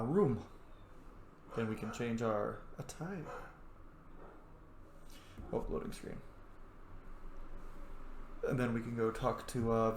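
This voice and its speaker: A man's voice: medium pitch, roomy sound, slight background noise. A man giving casual, chatty gaming commentary as a let's play host, reacting aloud as he plays.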